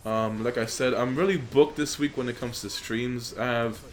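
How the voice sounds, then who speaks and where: A man's voice: mid-range voice, normal speed. Young man rambling over gameplay. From Poland. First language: Polish. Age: young adult. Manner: rambling, filler-heavy, relaxed. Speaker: gaming YouTuber. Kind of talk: casual gameplay commentary. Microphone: USB desk microphone.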